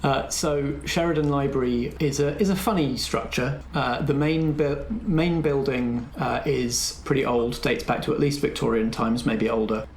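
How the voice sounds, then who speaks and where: A man's voice: mid-range voice, normal speed, few pauses. A man acting as game master, narrating scenes and prompting players in a tabletop roleplay. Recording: echoey room, faint background noise.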